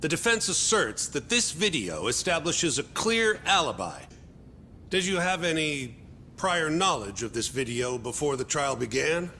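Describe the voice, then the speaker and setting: An actor playing an insistent male defense lawyer in a video game dub, questioning in a formal, deliberate way. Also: fairly high pitch, big pitch swings, bright, forceful tone, a little hiss or hum, exaggerated voice. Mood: formal, pressing. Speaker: defense attorney character. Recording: dramatic music behind the dialogue.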